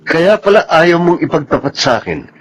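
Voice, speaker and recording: Hurt jealous filipino boyfriend, slightly high voice, reproachful, dramatic radio acting. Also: male voice, expressive, moderate pace, lo-fi sound.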